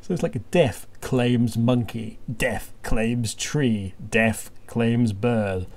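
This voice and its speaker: A man's voice: medium pitch, extremely slow, darkish tone. A man hosting a casual group podcast, bantering and reading things out with a wry, exasperated manner. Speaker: podcast host.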